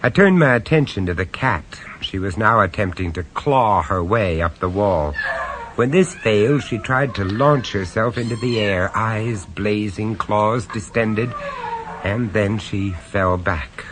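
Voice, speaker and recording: A man narrating a radio horror story in the first person, measured and dramatic, with dry sarcasm when he speaks to the others. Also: on the low side, background noise, lightly acted. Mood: grave. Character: grave, wry male storyteller on a castle tour.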